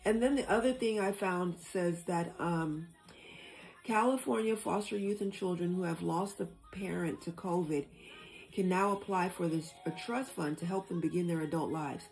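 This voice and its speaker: A woman's voice: fairly low pitch, moderate pace, darkish tone, faint music behind, rough recording. A woman hosting a casual discussion, reading out information and thinking aloud as she explains it. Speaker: host.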